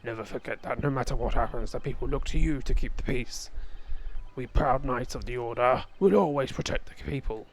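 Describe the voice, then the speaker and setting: A man's voice: medium pitch, fairly quick, close to an everyday voice. A man voicing a proud, dutiful and kindly knight captain in a video game dub. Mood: solemn, proud.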